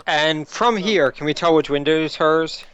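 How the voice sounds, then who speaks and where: A man's voice: fairly high pitch, moderate pace, fairly bright tone, smooth, no echo, dull audio. A man playing a tabletop role-playing game, casually asking questions about the scene.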